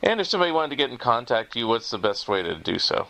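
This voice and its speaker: A man's voice: mid-range voice, very animated, quick pace, gravelly, sharp tone. A man in his fifties hosting a podcast in a casual, conversational style. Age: 50s. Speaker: podcast host.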